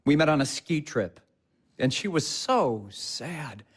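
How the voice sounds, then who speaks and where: A man's voice: on the high side, sing-song, slow pace, husky, heavily acted. An actor playing an earnest, bookish young husband in an audio play, hesitant and apologetic as he tries to comfort. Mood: wistful, confiding. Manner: hesitant, self-correcting, apologetic. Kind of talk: naturalistic audio play dialogue.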